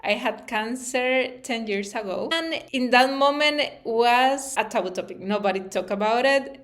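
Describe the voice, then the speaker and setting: A woman's voice: on the high side, normal speed. A woman from Costa Rica with a Costa Rican Spanish accent speaks earnestly and informally as a channel host, with non-native English phrasing. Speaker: YouTube channel host and interviewer. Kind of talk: informal personal talk to camera.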